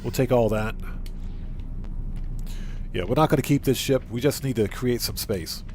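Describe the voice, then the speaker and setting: A man with a mid-pitched voice hosts a gaming livestream in a casual, chatty way. He thinks aloud as he plays, often repeating short phrases, and speaks to his viewers. Music plays faintly behind him. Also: moderate pace, darkish tone. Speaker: livestream host. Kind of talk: casual gaming livestream commentary, greeting chat.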